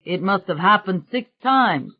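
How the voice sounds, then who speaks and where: A woman's voice: mid-range voice, lively intonation, unhurried, highs cut off. A woman voicing a rattled, aggrieved working-class male painter in an audiobook, talking in an emphatic, colloquial way.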